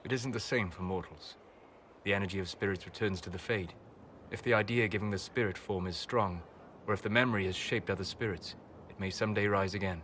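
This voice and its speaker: Grave male elf mage , actor-played, video game: measured, protective, solemn, over background ambience. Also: fairly low pitch, fairly bright tone, a little hiss or hum, natural voice. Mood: quiet sorrow. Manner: measured, solemn, deliberate phrasing. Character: grave elven mage, grieving and righteous, fiercely protective.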